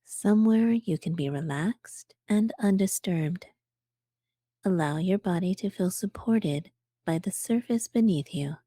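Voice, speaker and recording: A woman narrating a guided meditation for beginners as the guide, speaking calmly and gently, in measured phrases with pauses. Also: on the low side, no echo, studio quality.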